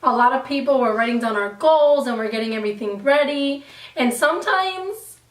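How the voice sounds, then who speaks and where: Upbeat female channel host, high pitch, recorded at a distance in an echoey room. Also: fairly quick. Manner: upbeat, chatty, playful. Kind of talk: casual chat.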